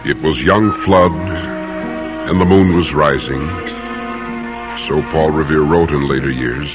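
A deep-voiced man narrates a classic radio drama. His delivery is formal and deliberate with a dramatic touch, and music plays clearly behind him. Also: steady tone. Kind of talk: scripted old-time radio drama narration.

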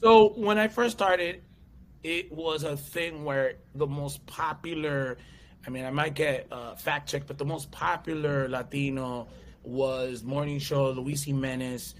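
A man's voice: on the high side, unhurried, slight background noise. A man in his thirties from the United States, a comedian and actor telling stories as an interview guest, often acting out quotes and voices. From USA. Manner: animated storyteller, acts out voices and quotes. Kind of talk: casual interview chat.

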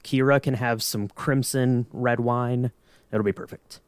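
A man's voice: mid-range voice, fairly even intonation, unhurried, clean tone, no echo. A man co-hosting a podcast, chatting casually and playfully in mock-serious fan banter. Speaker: podcast co-host.

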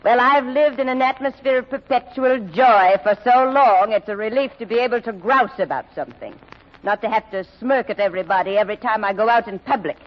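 A woman's voice: on the high side, a little fast, lo-fi sound, close to an everyday voice. An actress playing a sour, grumbling, middle-aged widowed mother in old-time radio style, dry, caustic and declamatory. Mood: bitter.

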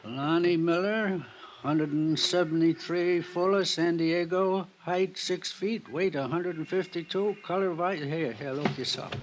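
Through the light noise of an old radio recording comes a man acting a mild little pawnbroker. His voice sits a bit high for a man. He talks in short, colloquial bursts and rhetorical questions, friendly but quick to defend himself. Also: steady tone, close to an everyday voice. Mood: helpful, matter-of-fact.

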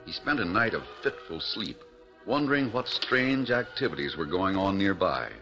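A man's voice: deep voice, expressive, normal speed, highs cut off, over music. A man narrating an adventure in a dramatic, scripted storytelling style.

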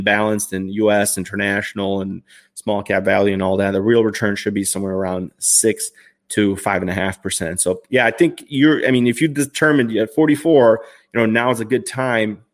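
A man in his thirties hosting a live stream, answering viewer questions in a chatty, upbeat way with lots of fillers and restarts. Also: lowish voice. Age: 30s. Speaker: finance livestream host.

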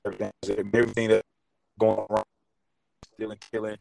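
A young man speaks with a low voice that stays flat and steady. He talks loosely in street slang and keeps checking in with "you know what I mean". The sound is dry, with no room echo. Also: brisk, gravelly, smooth. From Detroit, Michigan. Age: young adult. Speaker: guest, boxer and entrepreneur. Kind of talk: casual interview talk.

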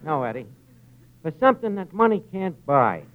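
Tinny old-radio pontificating New wiseguy. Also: male voice, on the high side, very animated, normal speed. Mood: mock philosophical. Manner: mock-grand pontificating in slangy, ungrammatical speech. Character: uneducated, philosophizing tavern manager, malapropism-prone wiseguy. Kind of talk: classic radio comedy acting. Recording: tinny, noisy vintage radio broadcast.

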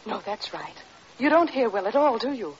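An actress playing a frightened, self-doubting wife in 1940s radio drama, anxious, halting and pleading. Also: female voice, a little high, sing-song, very noisy. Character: frightened, doubting young wife being driven to despair. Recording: dull old radio broadcast recording.

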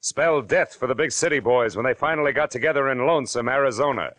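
A man's voice: mid-range voice, quick pace, fairly bright tone, lightly acted. A man playing a wry, hard-boiled private detective who narrates his case in clipped 1940s radio noir style. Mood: wry, narrating. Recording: old radio recording with music behind.

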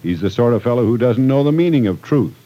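A man's voice: medium pitch, expressive, fast, muffled recording, lightly acted. An actor playing a stern, protective older man in a 1940s radio drama, earnest and moralizing, in an old recording. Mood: stern, worried.

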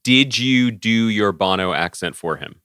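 A man's voice: fairly low pitch, lively intonation, unhurried, pushed and bright, clear, resonant tone, no echo, clean studio sound. An American man co-hosting a podcast, bantering casually in a wry, mock-serious, wordy way. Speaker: podcast co-host.

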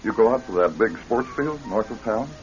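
An actor in a radio drama playing a male psychiatrist, questioning a patient in a measured, professional manner. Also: on the low side, animated, natural voice. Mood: calmly probing. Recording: dull old radio recording with music.

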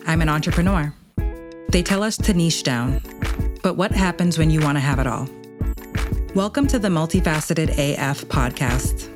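A woman's voice: low voice, normal speed, over music. A woman hosting a podcast, reading a scripted intro and then chatting with a guest, affirming her often. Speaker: podcast host.

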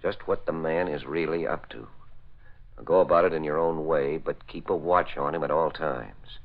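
An actor playing a suspicious, authoritative federal bureau chief, brisk and no-nonsense, in 1940s radio drama style. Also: male voice, rumbling low. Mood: businesslike. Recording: dull old radio recording.

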